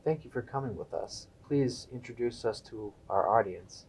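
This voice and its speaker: A man's voice: mid-range voice, animated, normal speed, darkish tone, slight background noise, low-quality recording. A young man co-hosting a student interview show, reading a scripted intro and questions with occasional stumbles. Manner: stumbles while reading aloud. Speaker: co-host.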